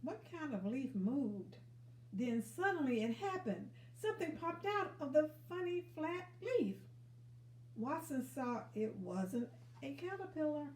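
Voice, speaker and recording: Storybook reader: woman, high voice, slow and encouraging delivery, expressive, echoey room. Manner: encouraging, asks young listeners questions. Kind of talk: expressive read-aloud story narration.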